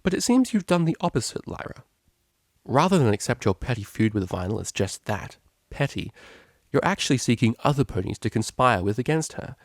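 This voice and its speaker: A man voicing a prim, proud young mare, a cellist, who speaks in a formal, measured, articulate way in a storybook reading. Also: medium pitch, very animated, dry recording, clean studio sound. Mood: stern, lecturing.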